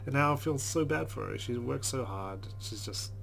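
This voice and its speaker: A man reads a game's first-person story aloud in an earnest voice at a middle pitch, then drops into casual asides. A faint hum sits under his voice. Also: animated, moderate pace, creaky, a bit bright. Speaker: let's play host. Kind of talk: reading game narration aloud with casual comments.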